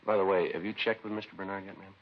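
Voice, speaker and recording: Clipped, low-voiced police sergeant. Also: male voice, very fast. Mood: terse, calm. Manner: clipped, deadpan, matter-of-fact. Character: terse, unflappable male police sergeant narrating his case. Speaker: series lead and narrator. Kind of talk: 1950s radio police drama acting and narration. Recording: dull vintage radio recording.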